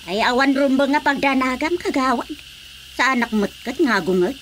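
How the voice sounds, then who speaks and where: An outspoken village woman scolds and insists in a high, very animated voice. It is emphatic radio drama comedy, with faint background noise. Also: normal speed. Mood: insistent.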